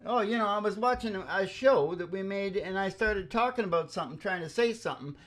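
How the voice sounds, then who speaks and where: A woman's voice: mid-range voice, fairly quick. A woman musician chatting casually and informally between songs at a rehearsal, rambling with giggly asides. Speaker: musician and show performer. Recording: dull lo-fi rehearsal recording with guitar.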